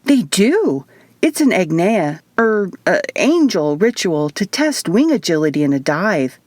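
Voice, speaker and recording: Female reader as young hybrid girl, bold, excitable, eager, eccentric, storybook adventure, dry recording. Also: fairly low pitch, a little slow, dry recording. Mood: eager, amused.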